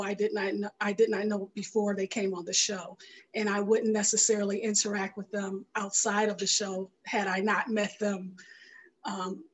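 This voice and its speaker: A middle-aged woman giving reflective interview answers in a thoughtful way, pausing mid-phrase as she goes. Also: mid-range voice. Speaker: interview guest, filmmaker and radio show creator. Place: indoor room, video call. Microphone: headset on video call.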